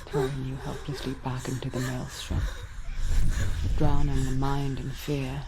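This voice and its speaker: Low, hushed, eerie narrator. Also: female voice, flat delivery, noisy background. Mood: ominous. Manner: hushed, intimate, deliberate, slipping into whispers. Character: calm, eerie female narrator voice inside a warrior's mind. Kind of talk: scripted dark fantasy game narration. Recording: loud music underneath. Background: loud game score.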